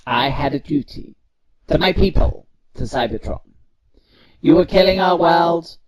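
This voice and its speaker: An actor playing a proud, bitter robot civilian leader in an audio drama, with formal, accusatory speech. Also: male voice, a little high, a little slow, a crowd in the background, close to an everyday voice, poor audio. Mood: bitter, accusing.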